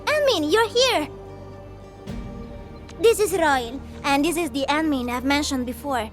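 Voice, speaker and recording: Perky, high-voiced girlish companion. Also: animated, unhurried. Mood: excited, friendly. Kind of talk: video game voice acting. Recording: faint music behind the voice.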